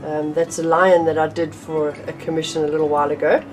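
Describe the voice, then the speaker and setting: A woman's voice: low-pitched, flat delivery, fairly quick, soft background music. A middle-aged woman teaching drawing from her home art room, chatty and a little nasal from a cold, with frequent ums and restarts. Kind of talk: casual art tutorial.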